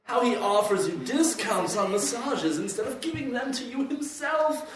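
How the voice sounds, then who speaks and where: A man's voice: very high voice, animated, normal speed, far from the mic, crowd noise. A man playing an awkward, petty ex-boyfriend in a comedy sketch. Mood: jealous, indignant. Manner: hesitant small talk turning petty and indignant. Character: jealous, awkward, slighted ex-boyfriend, a personified coupon deal service. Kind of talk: comedy sketch in old-time radio variety show. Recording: very echoey room, off mic.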